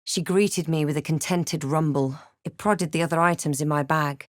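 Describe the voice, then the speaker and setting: Woman acting a measured fantasy heroine, close and dry. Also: sits low, fairly even intonation, no echo, natural voice. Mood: measured, dramatic. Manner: measured, literary, dramatic delivery. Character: adventurous female fantasy hero, wry, watchful and thoughtful. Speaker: player character voice in a fantasy role-playing game. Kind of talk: scripted game voice lines and narration.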